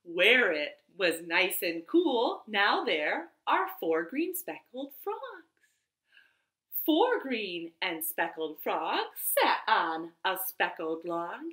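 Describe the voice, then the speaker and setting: A bright-voiced woman presents storytime for small children. Her delivery is animated and sing-song, slightly high and swinging widely in pitch. It is recorded in a roomy living room on a webcam. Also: slow. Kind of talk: children's storytime, rhymes and read-aloud story. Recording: roomy home webcam sound.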